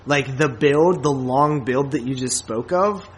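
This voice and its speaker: A man podcast host giving a casual film review in informal, slangy talk, often halting and circling back over his phrases. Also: medium pitch, a little hiss or hum.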